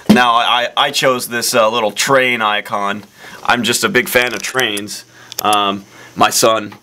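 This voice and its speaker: A young man earnestly presenting a parody software demo, rambling with lots of fillers. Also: lowish voice, slight background noise. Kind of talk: deadpan parody product demo.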